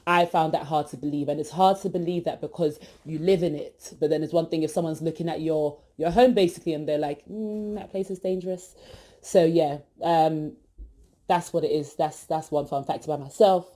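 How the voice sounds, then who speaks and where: A young woman with a low, mellow voice, chatting her way through a podcast intro. She talks loosely and animatedly, full of asides and little fillers. Her voice stays deep and soft all the way through. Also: fairly even intonation, moderate pace. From Britain, born in East London, family from Ghana. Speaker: podcast host. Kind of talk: casual self-introduction.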